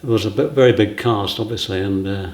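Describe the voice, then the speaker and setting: A man with a lowish voice gives an informal interview explanation. He is halting and matter-of-fact, and the room around him echoes noticeably. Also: a little mellow. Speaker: theatre set designer.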